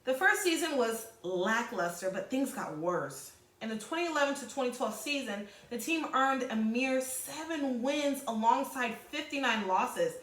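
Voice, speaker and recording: A woman teacher leading a read-aloud lesson, informally addressing pupils with explanatory asides and slightly halting reading. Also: high voice. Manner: halting, self-correcting reading. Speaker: sixth-grade teacher. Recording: echoey room.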